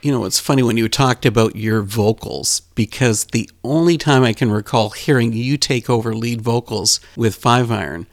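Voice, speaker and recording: A man with a mid-range voice hosts an interview in a relaxed, conversational way. His voice sounds close and dry, with no room echo behind it. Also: medium pitch, normal speed, hardly any pauses. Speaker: podcast host. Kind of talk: casual interview chat.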